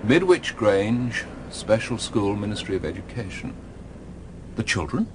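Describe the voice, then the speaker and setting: An uneasy, curious British traveller in a radio play. His voice is lowish with lively swings, amid clear vehicle noise. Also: a little slow. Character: curious, uneasy man returning from abroad. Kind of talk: naturalistic radio drama acting.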